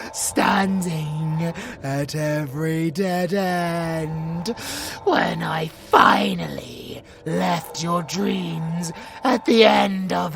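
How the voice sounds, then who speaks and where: Slow, theatrical British supervillain. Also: male voice, a little high, gravelly, bright, forceful tone, heavily acted. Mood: cruel, gloating. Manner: theatrical, taunting, drawn-out delivery. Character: sadistic supervillain with psychic powers, menacing and gleeful. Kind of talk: villain audio roleplay, dramatic acting. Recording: music behind the voice.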